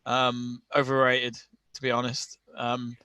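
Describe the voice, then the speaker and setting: Bright-voiced man chatting football. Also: mid-range voice, completely flat delivery, unhurried, clean tone, no room sound. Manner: chatty, many restarts and laughs. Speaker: co-host of a football watch-along. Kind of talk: casual live-stream chat.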